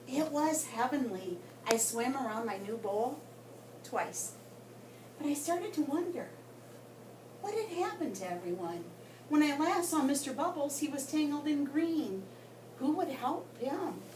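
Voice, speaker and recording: Female storyteller for a children's read-aloud, higher-pitched and expressive, picked up from across a roomy space. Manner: expressive, with dramatic pauses and emphasis. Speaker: guest story reader for children. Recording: roomy, distant mic with background noise.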